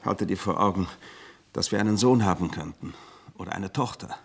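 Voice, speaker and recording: An actor playing an aggrieved ageing manservant in a radio drama, husky and theatrical, with stilted formal phrasing and a self-pitying temper. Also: male voice, deep voice, moderate pace, exaggerated voice. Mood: indignant, pompous. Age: older.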